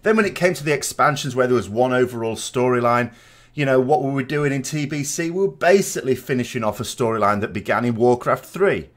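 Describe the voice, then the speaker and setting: A man's voice: medium pitch, expressive, brisk. A man hosting a gaming channel in a casual, conversational podcast style, rambling and digressive, with emphatic, opinionated delivery.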